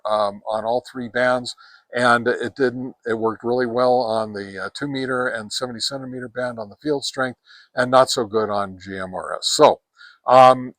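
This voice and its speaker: A man's voice: medium pitch, a bit bright, no room sound. Man giving a casual, emphatic gear review. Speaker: product reviewer and host. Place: outdoors on a deck.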